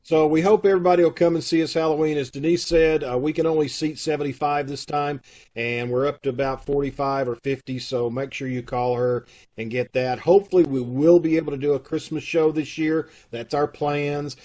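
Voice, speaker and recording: A man's voice: fairly high pitch, fairly even intonation, a little fast, a little mellow. A man with a Kentucky accent, leading a theater troupe and making casual, rambling announcements over a video call. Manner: folksy, rambling, with fillers.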